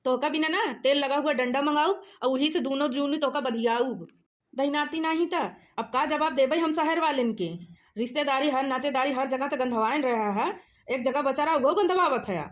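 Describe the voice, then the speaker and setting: A woman playing a scolding, sharp-tongued village mother in a comic cartoon, speaking with a rustic eastern Uttar Pradesh accent. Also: high-pitched, normal speed, close to an everyday voice. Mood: threatening. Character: scolding village mother, exasperated, threatening, fond of nephew.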